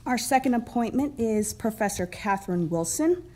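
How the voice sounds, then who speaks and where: A woman's voice: fairly high pitch, lively intonation, normal speed, warm tone. A woman introducing appointees at a city council meeting, friendly, with frequent ums. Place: council chamber.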